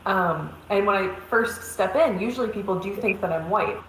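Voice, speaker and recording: A woman's voice: medium pitch, normal speed. A woman speaking on a casual panel discussion, chatty, with frequent "you know" fillers. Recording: echoey room, faint background noise.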